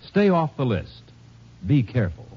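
A man with a husky voice making lively promotional announcements on 1950s network radio. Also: mid-range voice, moderate pace. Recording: dull 1950s radio broadcast.

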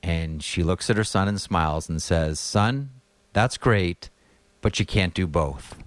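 A man's voice: low voice, unhurried, dry recording. A man in his fifties hosting an interview, telling stories with enthusiasm. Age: 50s. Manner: enthusiastic storyteller. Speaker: podcast host. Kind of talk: casual interview talk.

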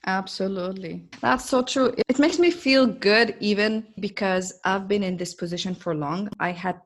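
A woman's voice: mid-range voice, normal speed. A woman from the Middle East, a native Arabic speaker with an Arabic accent, telling personal stories in an earnest, emphatic way as a podcast host. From Saudi Arabia, Middle East. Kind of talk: personal storytelling in an interview.

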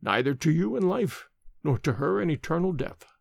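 A man voicing a dying old nobleman, cold and unrepentant, speaking slowly in a low, hollow voice. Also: very animated, vocal fry, dry recording, exaggerated voice. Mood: grim.

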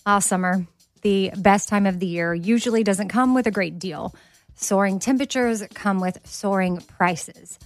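A woman's voice: mid-range voice, moderate pace, soft background music. A woman, hosting a podcast in an upbeat, chatty way. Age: 30s. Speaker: podcast host. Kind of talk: casual interview chat.

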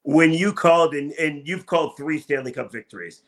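A man's voice: on the high side, moderate pace, fairly bright tone. An American man hosting a sports talk radio interview, asking questions in an emphatic, effusive, stop-start way.

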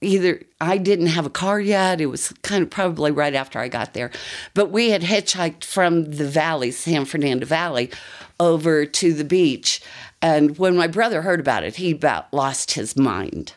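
A woman's voice: sits low, lively intonation, normal speed. An older woman with an American accent, telling a personal story in a casual, conversational way with rambling asides. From United States.

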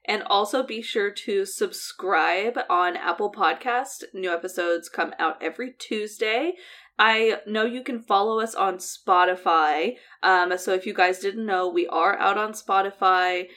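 A woman with a General American accent, co-hosting a podcast in relaxed, chatty talk and laughing easily. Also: medium pitch, a little slow. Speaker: podcast co-host. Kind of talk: casual podcast chat.

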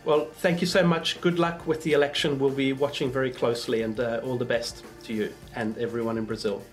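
A man hosting a podcast in a friendly, wry, measured way. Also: medium pitch, flat delivery, soft background music. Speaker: podcast host. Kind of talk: interview and scripted sign-off.

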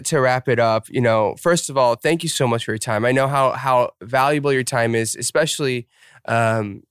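A man's voice: mid-range voice, clean tone, no echo, studio quality. A young man hosting a podcast, upbeat and chatty, full of fillers like "like" and "um". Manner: upbeat, filler-heavy, self-deprecating. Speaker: podcast host. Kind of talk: casual interview chat.

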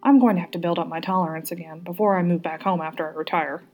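A modest, wary male politician in a storybook, a little drunk and self-deprecating, as read by a woman. The voice is soft-edged and husky over faint music. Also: on the low side, fairly even intonation, fast talker, close to an everyday voice. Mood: rueful. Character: tipsy Canadian male politician, modest and cautious.

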